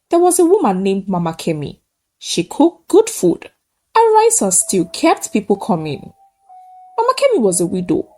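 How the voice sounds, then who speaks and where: A woman narrating a scripted folktale in short, measured, dramatic phrases. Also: medium pitch, a little mellow. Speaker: storyteller.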